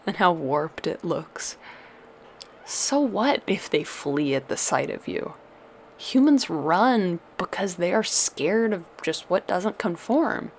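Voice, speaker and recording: A woman's voice: lowish voice, gravelly, a little hiss or hum. A woman playing a tender, doting witch girlfriend who soothes a monster lover with old-fashioned endearments in an ASMR audio roleplay. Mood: adoring reassurance.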